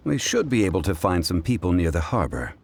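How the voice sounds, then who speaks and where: An actor playing a learned, composed gentleman in a video game, speaking in a formal, measured, eloquent manner. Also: sits low, very animated, creaky, a little hiss or hum, full character voice.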